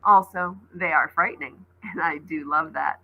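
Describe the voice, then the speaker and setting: A woman's voice: low-pitched, moderate pace, narrow, tinny audio, a little hiss or hum, pitched below the performer's own voice. A woman voicing a sinister, boyish supernatural trickster in a storybook, taunting and smug in a theatrical way. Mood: smug, dismissive.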